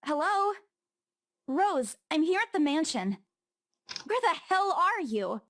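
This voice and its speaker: A woman's voice: extremely high pitch, big pitch swings, slow, a bit bright, exaggerated voice. An actress playing an anxious, exasperated young woman searching for her friend in a horror visual novel. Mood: anxious, impatient.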